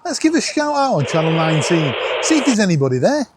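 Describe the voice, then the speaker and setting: A man's voice: high voice, quick pace, very noisy. A man with a Northern English accent chatting casually as a vlog host, joking and poking fun at himself. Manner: jokey, animated, self-deprecating. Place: outdoors at night on a seafront promenade. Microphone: camera worn around the neck.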